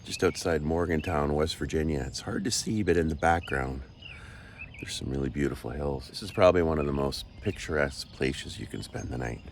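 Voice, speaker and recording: A man's voice: a bass voice, a little fast. A man who drives a truck and hosts his own vlog, talking casually to the camera with joking asides. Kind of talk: casual on-the-road vlogging. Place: truck cab and roadside outdoors. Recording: noisy outdoor and engine background.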